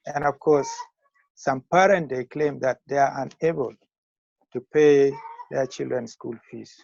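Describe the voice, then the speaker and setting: An older man in his sixties with a Ugandan accent, giving measured, formal interview answers as a school founder. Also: mid-range voice, creaky, darkish tone, no echo. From northern Uganda. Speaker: school founder and retired teacher. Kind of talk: interview answers on a video call. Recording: muffled lo-fi call audio.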